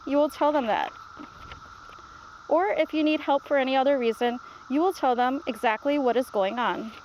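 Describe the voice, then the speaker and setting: A woman's voice: sits high, slight background noise, low-quality recording. A woman voicing a patient, reassuring grandmother who explains things simply in a children's storybook read-aloud. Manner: reassuring, instructive.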